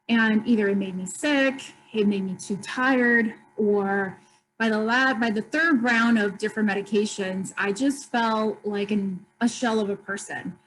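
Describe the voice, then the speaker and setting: A woman's voice: medium pitch, a little hiss or hum, low-quality recording. A woman in her thirties or forties, from Colombia, speaking openly and earnestly as a guest on a video call interview. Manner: open, earnest, speaks of hard times steadily. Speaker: store owner, guest.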